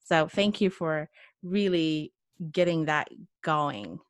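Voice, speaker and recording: A woman's voice: sits low, slow pace, no room sound, clean studio sound. A woman hosting a podcast interview, asking questions and affirming her guest with many yeses and mm-hmms. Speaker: podcast host. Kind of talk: casual interview chat.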